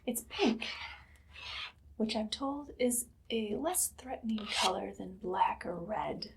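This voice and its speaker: A woman playing an evil, menacing queen with dry humor in a soft, whispered ASMR roleplay, giving slow commands. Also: mid-range voice, expressive, gravelly, a little hiss or hum. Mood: haughty, controlled.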